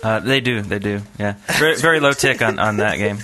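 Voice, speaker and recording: A man's voice: fairly low pitch, a little fast, sharp tone. A man hosting a podcast in a casual, easygoing, rambling way. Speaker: podcast host. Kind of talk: casual chat and loose ad reads.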